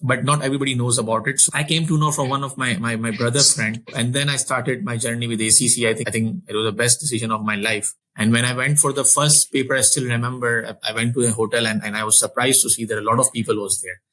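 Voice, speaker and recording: A man's voice: mid-range voice, fairly even intonation, quick pace, hardly any pauses, sharp tone, low-quality recording. A man in his forties, raised in Saudi Arabia, telling his career story as an interview guest in an earnest way, often restarting and repeating words. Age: 40s. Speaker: finance executive guest.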